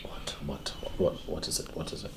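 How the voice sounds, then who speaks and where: A man's voice: moderate pace, very noisy, close to an everyday voice. An actor playing an earnest husband in a film drama, soothing and pleading, repeating his words insistently. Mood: gentle, consoling. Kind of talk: naturalistic film drama acting. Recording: roomy echo, faint background music.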